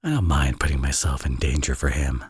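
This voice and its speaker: Man acting a husky, low-voiced villain dad. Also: animated, fairly quick, darkish tone, dry recording, studio quality, heavily acted. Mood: serious, protective. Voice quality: husky, breathy. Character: teasing villain, tender doting young father. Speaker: audio roleplay voice actor. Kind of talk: intimate ASMR audio roleplay acting.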